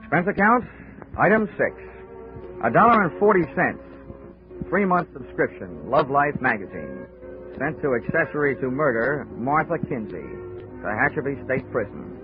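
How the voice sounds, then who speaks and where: An actor playing a wry, hardboiled investigator with deadpan wisecracks in a 1940s radio drama. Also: male voice, medium pitch, normal speed, narrow, tinny audio, music in the background, natural voice. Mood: dry, wry. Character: wry, hardboiled male insurance investigator narrating his case. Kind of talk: 1940s radio acting, detective narration. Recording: band-limited old radio recording.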